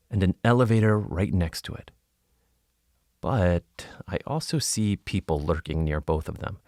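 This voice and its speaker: Casting: actor as wry investigator-narrator, very low male voice, measured storytelling, close dry studio sound. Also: no echo, lightly acted. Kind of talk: scripted first-person podcast narration.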